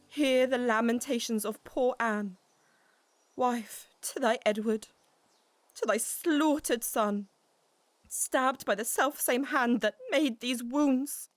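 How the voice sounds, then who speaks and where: A woman's voice: a little high, slow, no echo. A woman playing a grieving, defiant noble widow in a Shakespearean audio drama, with declamatory, emotional delivery. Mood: mournful.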